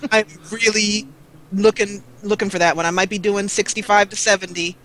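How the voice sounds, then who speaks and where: A woman's voice: mid-range voice, steady tone, normal speed, a bit bright, rough recording. A woman chatting casually as a guest on a gaming livestream. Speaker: guest, gaming video creator. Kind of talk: casual chat. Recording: clear background noise and ambience.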